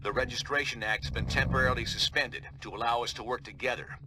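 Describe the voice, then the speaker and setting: An actor playing a serious team leader delivering a video game mission briefing. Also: male voice, medium pitch, fairly even intonation, fast talker, background noise, natural voice. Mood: grave.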